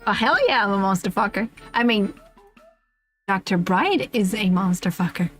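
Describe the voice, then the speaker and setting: A woman's voice: medium pitch, normal speed, faint music behind. A woman streamer reading a comic list aloud on a livestream, giggly and often breaking off into casual asides.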